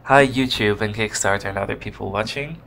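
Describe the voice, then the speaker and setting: A man's voice: lowish voice, a little fast, vocal fry, fairly bright tone, a little hiss or hum. A man with a Dutch accent, rambling casually and searching for words while commentating his own game. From Netherlands. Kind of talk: casual let's play commentary. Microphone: desk microphone recorded on computer. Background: game music.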